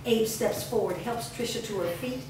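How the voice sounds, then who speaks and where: A woman's voice: on the high side, normal speed. A woman reading scripted stage directions aloud as narrator of a staged reading. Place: large echoey room, recorded from afar. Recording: echoey room, distant mic, noisy.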